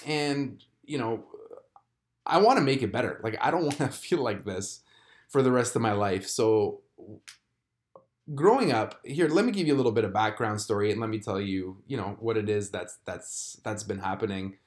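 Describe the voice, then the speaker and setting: A man in his thirties, born in Croatia, hosting a solo podcast and talking through a personal struggle in a reflective, halting way with many fillers and restarts. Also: lowish voice, expressive. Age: 30s. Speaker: podcast host. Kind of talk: candid solo podcast confession.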